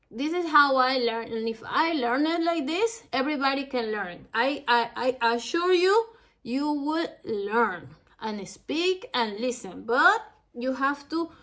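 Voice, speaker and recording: A woman from Ecuador, a native Spanish speaker with a Latin American Spanish accent, giving an instructional lesson as a language teacher in a halting, careful way, phrase by phrase. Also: high voice, a bit bright.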